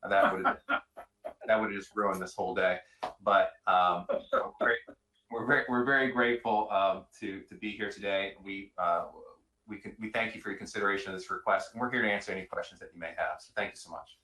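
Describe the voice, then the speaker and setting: A man speaking as an attorney at a public hearing, courteous and halting, in semi-formal remarks. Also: low-pitched, sharp tone. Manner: halting, courteous, hesitant phrasing. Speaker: attorney representing an applicant. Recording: roomy, echoing meeting room sound.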